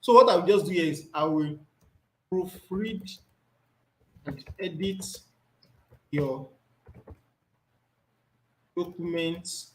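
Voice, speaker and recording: A man's voice: high-pitched, slow pace, long pauses, a bit bright, poor audio. Man teaching a screen tutorial. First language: Yoruba. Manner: thinks aloud, repeats words while reading off the screen. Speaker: instructor.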